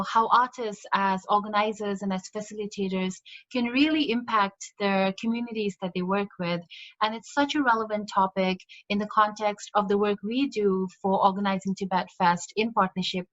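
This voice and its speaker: A woman's voice: medium pitch, a bit bright, dry recording. Host, woman, friendly and prepared, dry muffled sound with faint music behind. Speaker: interviewer. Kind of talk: hosting an interview.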